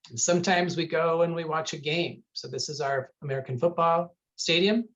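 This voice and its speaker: Man, university recruiter on a video call, slightly high voice, chatty and upbeat delivery. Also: normal speed, fairly bright tone, dull audio. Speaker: university recruiter presenting a webinar. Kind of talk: presenting slides on a video call.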